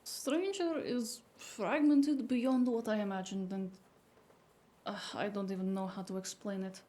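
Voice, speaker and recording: A woman playing a blunt, exasperated, sharp-tongued shaman in a cyberpunk audio drama. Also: medium pitch, fairly even intonation, unhurried, natural voice. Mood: unsettled. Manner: blunt, sardonic.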